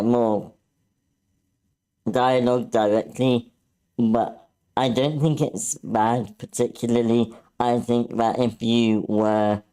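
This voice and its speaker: A man's voice: mid-range voice, warm tone. A man giving casual critique in an online meeting, tentative and thoughtful, hesitating as he goes. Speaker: script feedback participant.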